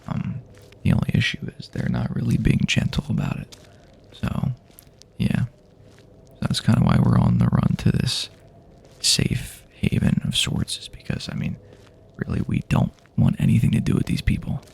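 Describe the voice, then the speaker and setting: A man improvising an ASMR roleplay monologue in a soft, breathy half-whisper, rambling hesitantly with frequent pauses. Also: very deep voice, huge pitch swings. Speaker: ASMR performer playing a companion on a post-apocalyptic journey. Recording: faint background ambient noise.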